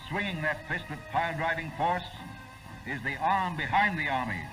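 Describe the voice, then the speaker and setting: A man's voice: a little high, normal speed, music in the background, rough recording. An American man narrating a 1940s wartime propaganda film in a solemn, emphatic, declamatory way, on a vintage film soundtrack.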